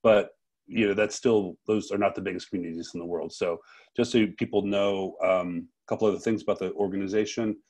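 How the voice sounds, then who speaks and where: A man hosting an unscripted interview, speaking haltingly and rephrasing his questions as he goes. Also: sits low, fairly even intonation, darkish tone. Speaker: interviewer and festival host.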